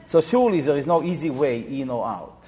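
A man in his seventies from Israel, explaining informally to an audience in a halting, reflective way. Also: mid-range voice, animated, warm tone. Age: 70s. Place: large echoey room or hall. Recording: reverberant hall sound.

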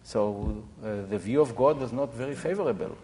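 A man in his seventies from Israel, explaining informally to an audience in a halting, reflective way. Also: medium pitch, dark tone, slight background noise. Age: 70s. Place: large echoey room or hall. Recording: reverberant hall sound.

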